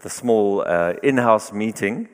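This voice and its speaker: A man from South Africa, a non-native English speaker, opening a conference sermon in a halting, warm way with fillers, with a live interpreter. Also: lowish voice. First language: not English. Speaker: guest preacher. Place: church hall. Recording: reverberant hall.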